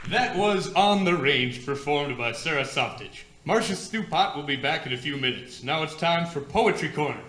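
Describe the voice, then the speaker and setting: Bright-voiced man announcing in an echoey room. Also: on the high side, expressive, moderate pace, hardly any pauses, some chatter behind, slight background noise. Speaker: radio show announcer. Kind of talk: presenting a community radio variety show. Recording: echoey hall, faint music.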